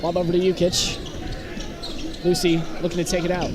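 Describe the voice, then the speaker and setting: A man's voice: high-pitched, monotone, a little fast. A man doing live basketball play-by-play in short, clipped calls. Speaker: play-by-play sports commentator. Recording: very noisy gym, loud crowd din. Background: basketball bounces and crowd noise.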